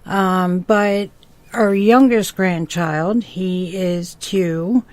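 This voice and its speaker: A woman's voice: lowish voice, steady tone, extremely slow, a little mellow, dry recording. A middle-aged or older woman co-hosting a podcast, chatting casually in an agreeable, easygoing way. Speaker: podcast co-host. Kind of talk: casual chat.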